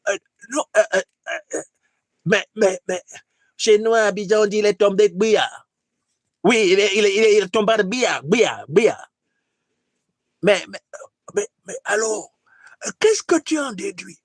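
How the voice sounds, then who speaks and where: A man's voice: high voice, animated, unhurried, long pauses, no room sound, voice pushed up, exaggerated voice. Casting: male performer as nervous, superstitious ruler clinging to power, stammering, repetitive, political satire, dry sound. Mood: alarmed, stammering. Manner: stammering, repeats words. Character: anxious ruler obsessed with keeping power, superstitious.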